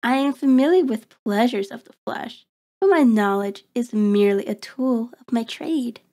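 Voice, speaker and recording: A woman's voice: a little high, warm tone, close to an everyday voice. A woman playing a cold, taunting vampire in an intimate ASMR horror roleplay, speaking with mocking, formal deliberation. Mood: disdainful. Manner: slow, deliberate, mocking, haughty formal diction. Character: cold, taunting, predatory female vampire toying with prey.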